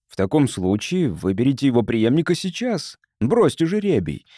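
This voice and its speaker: An earnest, troubled male scientist from Earth, voiced by an audiobook narrator, presses his point in a mellow voice. The recording is dry and the character is insistent. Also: mid-range voice, sing-song, moderate pace, no echo. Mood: challenging.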